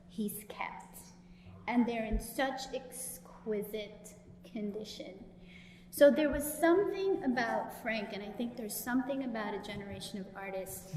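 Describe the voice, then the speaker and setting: A woman's voice: mid-range voice, darkish tone, a little hiss or hum. Guyanese woman speaking in an echoey gallery. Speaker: panelist and professor. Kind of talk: public panel talk. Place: gallery event space. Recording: echoey gallery room with long reverb.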